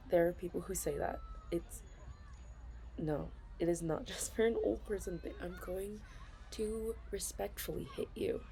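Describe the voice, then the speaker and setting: A woman with a low voice that has a gravelly, fry-laced edge speaks slowly as a bashful office worker in a confiding ASMR role-play. She often stammers and starts over, and soft giggles break up her talk. A faint hiss of noise sits behind her. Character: shy, fond female office worker.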